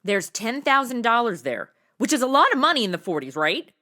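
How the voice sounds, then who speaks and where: A woman's voice: a little high, very animated, brisk, bright, forceful tone. A woman playing a brash, flippant undercover gal in a comedy audio drama, with casual, exaggerated wisecracking delivery.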